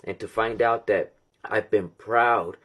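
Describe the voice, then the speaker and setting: A man's voice: on the low side, steady tone, slow, a bit bright. A man telling personal stories in a reflective, casual way, acting out the dialogue of the people he quotes. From Mexican heritage. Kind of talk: personal storytelling.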